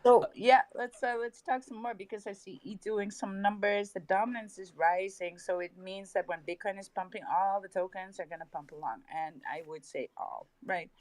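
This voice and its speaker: A woman's voice: medium pitch, steady tone, normal speed, few pauses, a little mellow, rough recording. A woman with a Dutch accent co-hosting a crypto livestream, giving casual market commentary in an upbeat way, laughing often and using hype slang.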